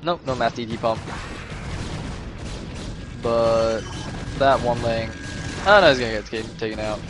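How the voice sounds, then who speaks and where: Hoarse young man casting a game. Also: medium pitch, unhurried, noisy background. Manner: chatty, rambling asides, excitable bursts. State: losing his voice, a little sick. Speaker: esports caster. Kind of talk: solo play-by-play commentary. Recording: music playing underneath.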